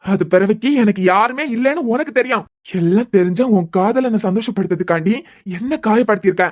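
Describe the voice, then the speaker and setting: Woman playing a husband, young, bitter, sarcastic, fast-talking, repeats himself in anger. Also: medium pitch, darkish tone, highs cut off, lightly acted. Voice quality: sarcastic, bitter, repeating words when angry. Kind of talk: amateur audio drama.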